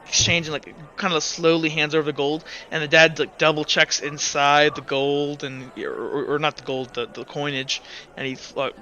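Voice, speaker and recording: A man narrating as game master in a casual, improvised way, halting and full of filler words. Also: on the high side, level tone, pushed and bright, muffled recording, slight background noise.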